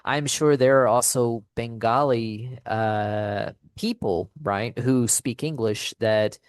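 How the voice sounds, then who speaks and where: A man hosting a casual interview as an English teacher, speaking in a halting, deliberate way and checking in as he goes. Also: medium pitch, smooth, dry recording, studio quality.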